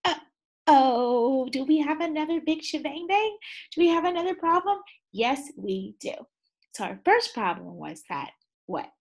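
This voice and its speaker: A woman teacher reading a picture book aloud to young children, pausing to ask them questions, with gasps and sighs. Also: high-pitched, very animated, gravelly. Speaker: second grade teacher.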